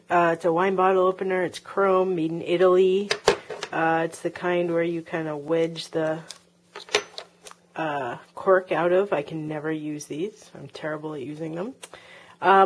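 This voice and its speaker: Woman reseller rambling slow about stuff she sold, lots of ums, lowish voice, filmed at home. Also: slight background noise. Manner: chatty, with frequent ums and uhs. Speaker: online reseller. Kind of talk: casual show-and-tell of sold items. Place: home, tabletop filming. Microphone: handheld camera close-up, speaker off-camera.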